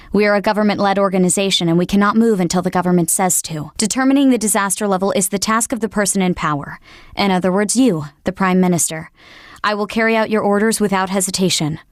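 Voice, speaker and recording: A woman plays a military officer in a video game, giving a formal briefing. The delivery is measured and explanatory, at a middling pitch, in a very dry recording. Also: no echo, natural voice. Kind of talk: scripted video game dialogue.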